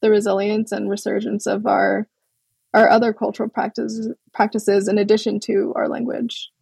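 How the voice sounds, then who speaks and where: A young adult woman from Hawaii, a guest artist speaking in a relaxed, thoughtful way with many pauses and restarts. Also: mid-range voice, vocal fry, husky. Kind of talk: casual interview over a call.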